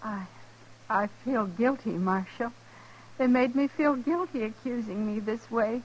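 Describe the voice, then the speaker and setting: An actress playing a humble, wounded homesteader's wife with a rural American accent in an old radio western. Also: female voice, a little high, measured pace, lo-fi sound. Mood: anguished, ashamed. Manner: plaintive, plainspoken country grammar.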